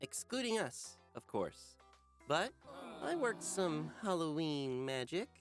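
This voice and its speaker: An animated male schoolteacher played by an actor addresses a class with theatrical cheer and dry wit. The pitch swings widely around a middle register, with soft music underneath, as in a visual novel. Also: slow pace. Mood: announcing, coaxing. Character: upbeat, dry-humored middle school teacher, a man.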